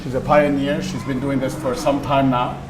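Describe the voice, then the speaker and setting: A man's voice: medium pitch, little pitch movement, normal speed. A man hosting an onstage interview before an audience, courteous, asking long rambling questions with fillers. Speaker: host, interviewer. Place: event hall with audience. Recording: roomy hall with noise.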